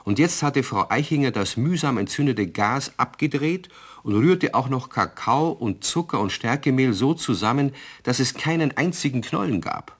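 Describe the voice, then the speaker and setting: A man's voice: medium pitch, animated, normal speed, husky. A man narrating a radio play, telling the story from a script.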